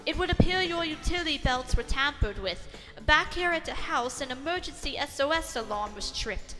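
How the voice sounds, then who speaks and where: Woman as English butler, male, calm, formal, courteous, measured, storybook reading. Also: high voice, big-room sound, faint music behind.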